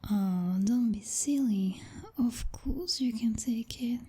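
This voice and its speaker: Breathy, whispering possessive vampire girlfriend played by a woman. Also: a little high, warm tone, full character voice. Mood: teasing, coddling. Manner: slow, purring, teasing, condescending pet names. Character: possessive female vampire girlfriend, teasing, dominant, coddling. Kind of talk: intimate ASMR roleplay.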